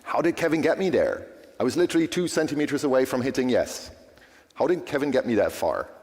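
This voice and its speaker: A man whose first language is German, giving a lively, conversational tech conference talk and often checking in with the audience. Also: medium pitch, fast talker. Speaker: conference speaker. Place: large conference hall. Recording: long hall reverb.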